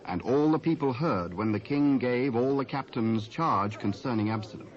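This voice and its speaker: A British man narrating scripture in a measured, scripted style with archaic English. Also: medium pitch. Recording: muffled cassette transfer with faint noise.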